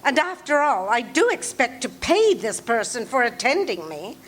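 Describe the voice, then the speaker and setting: A woman's voice: on the high side, very animated, normal speed, fairly bright tone. An actress playing a frantic, demanding invalid woman alone at night in a live radio play, fretful and breathless. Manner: fretful, breathless, rising into panic.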